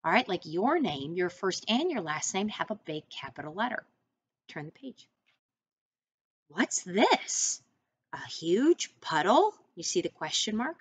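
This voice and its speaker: A middle-aged American woman reading a picture book to young children as their teacher, expressively, with deliberate instructing asides. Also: on the low side, big pitch swings, breathy edge. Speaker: first-grade teacher. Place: small room indoors, seated at a desk.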